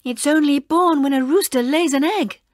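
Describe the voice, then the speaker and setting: A woman voicing an excitable, earnest young girl in a storybook read-aloud. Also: high voice, normal speed, faint music behind, voice pushed up, lightly acted. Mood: earnest, worried.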